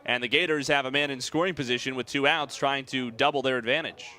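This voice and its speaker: A young American man calling baseball play-by-play in a measured, articulate way. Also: mid-range voice, fairly even intonation, sharp tone. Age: young adult. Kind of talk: sports play-by-play commentary.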